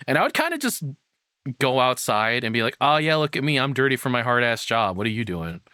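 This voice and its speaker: A man with a bright, forceful voice and a middle pitch, recorded very dry. He talks off the cuff in a casual reaction commentary, rambling and frank, swearing now and then. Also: sing-song, a little fast, clear, resonant tone, no room sound. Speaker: content creator reacting to a video.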